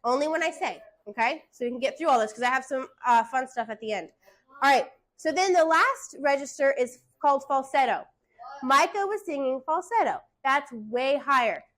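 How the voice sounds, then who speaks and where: A woman voice teacher giving classroom singing instruction, hushing her students and checking in with "okay?" after nearly every point. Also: sits high, animated, normal speed.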